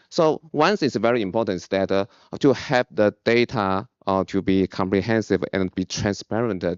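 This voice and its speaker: Male physician, deep resonant voice, halting panel talk. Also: darkish tone, clean tone, no echo. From Taiwan. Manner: hesitant, with many filler pauses. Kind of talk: panel remarks in English. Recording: dry, dull lo-fi conference recording.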